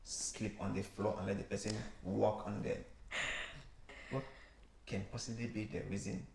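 Cameroonian man hosting, gravelly voice. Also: lowish voice, flat delivery, moderate pace, echoey room, noisy background. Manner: questioning, leading the talk. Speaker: show host. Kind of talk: studio interview. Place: small studio living-room set, indoors.

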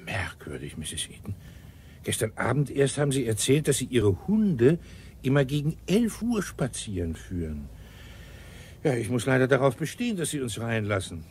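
An actor playing a shrewd, sardonic, dogged police inspector in a German radio crime drama, commanding and dry with muttered asides. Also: male voice, medium pitch, sing-song, moderate pace, a little mellow, a little hiss or hum, lightly acted. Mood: insistent.

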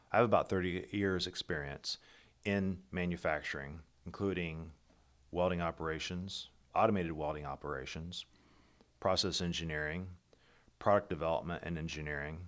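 A middle-aged man, the founder of a company, presenting a prepared keynote over slides in a steady, methodical, businesslike way, with the odd slip and restart. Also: low voice.

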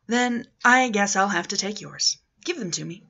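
A cool, sardonic escaping patient from an audiobook read by a woman, curt and defiant. The voice is sharp with a gravelly creak. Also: mid-range voice, animated, normal speed, lo-fi sound, full character voice. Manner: curt, assertive.

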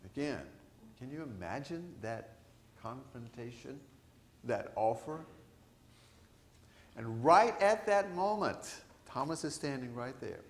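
Older man, priest, lively conversational sermon, booming church echo, faint room hum. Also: slow pace, long pauses. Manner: animated, gesturing, conversational preaching with questions to the congregation. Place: large church sanctuary. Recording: echoey church sanctuary.

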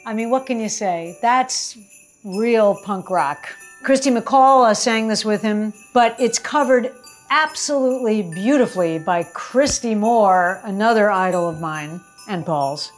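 A woman's voice: medium pitch, lively intonation, a little slow, soft background music. A middle-aged woman speaking casually and enthusiastically as she introduces a performance to camera at a close microphone in a home kitchen. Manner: enthusiastic, effusive. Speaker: host introducing a song.

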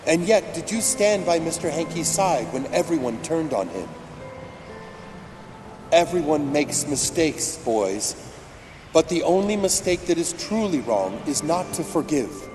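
A man voicing a calm, preachy Jesus figure in a video game cutscene. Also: fairly high pitch, measured pace. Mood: solemn. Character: gentle, solemn Jesus figure, adult man. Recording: game cutscene, long reverb, loud music.

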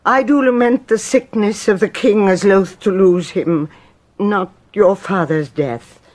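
Elderly grieving dowager , female actor, dignified, unhurried classical delivery. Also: mid-range voice, a little mellow, close to an everyday voice. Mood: sorrowful, gentle. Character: old, mournful, dignified royal grandmother. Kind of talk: classical Shakespearean audio drama acting.

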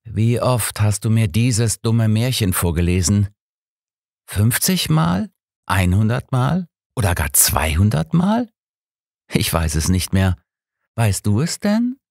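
A man's voice: on the low side, big pitch swings, takes it slowly, creaky, a little mellow, dry recording, clean studio sound, voice pushed up, exaggerated voice. A man voicing a cruel, vengeful grown son who taunts his victim in an audiobook. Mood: bitter, taunting. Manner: taunting, menacing.

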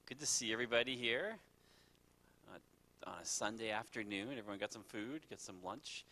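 Congregation moderator, very low voice with a bright edge, slightly stuffy from a cold. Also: male voice, moderate pace, low-quality recording. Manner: easygoing, filler-heavy hosting. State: sniffly with a cold. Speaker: moderator of a church discussion. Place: church sanctuary with a live audience. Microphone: handheld mic.

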